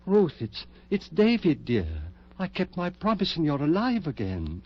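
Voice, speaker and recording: A man's voice: a little high, huge pitch swings, a little slow, exaggerated voice. A man playing an obsessed scientist, proud and desperate, with intense theatrical delivery in an old-time radio horror drama. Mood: pleading, excited. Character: obsessed man of science who revived his dead wife, proud, desperate. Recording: muffled old radio recording with hum.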